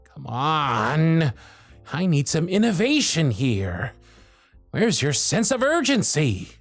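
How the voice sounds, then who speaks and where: A harried male elf supervisor, voiced by a man, scolds his team in a sarcastic, scolding tone. His pitch rises and falls widely while the delivery stays slow and unhurried. Faint music plays underneath this roleplay audio. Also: very animated, no echo, heavily acted. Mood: exasperated. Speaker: workshop supervisor.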